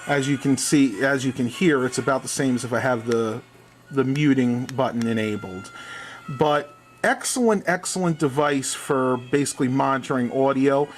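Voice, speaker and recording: A man's voice: medium pitch, moderate pace, soft background music. A man reviewing a product in a casual hands-on demonstration, explaining things methodically step by step.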